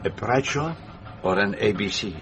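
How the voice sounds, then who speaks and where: An actor playing a courteous, methodical detective in a radio drama, with a French-speaking Belgian accent and formal, probing speech. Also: male voice, fairly low pitch, animated, normal speed, close to an everyday voice. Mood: inquisitive.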